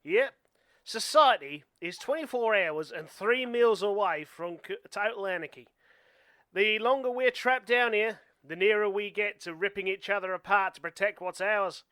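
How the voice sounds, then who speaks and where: A man's voice: high-pitched, normal speed, bright, forceful tone, no echo. A man playing an ominous, self-assured doom-mongering passenger in an amateur radio play. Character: doom-mongering know-it-all male passenger predicting anarchy.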